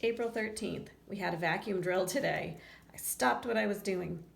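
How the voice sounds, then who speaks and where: A woman's voice: mid-range voice, moderate pace, vocal fry. A woman reading a children's picture book aloud expressively, breaking off for chatty asides to young listeners. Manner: expressive, acts out lines and chats to young listeners. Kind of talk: read-aloud storytelling with casual asides. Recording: roomy echo, faint background noise.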